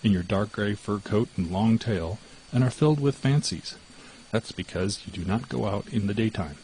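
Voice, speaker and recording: A man voicing a sly, greedy female cat in a storybook, smooth-talking and coolly deceitful. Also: on the low side, moderate pace, darkish tone, close to an everyday voice, low-quality recording. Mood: smug. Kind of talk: storybook character voice.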